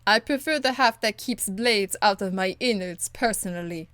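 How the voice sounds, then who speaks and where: A woman's voice: medium pitch, moderate pace, fairly bright tone, dry recording, close to an everyday voice. Woman acting a no-nonsense game warrior woman, blunt, earnest, formal, curt, dry close recording. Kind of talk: video game dialogue acting.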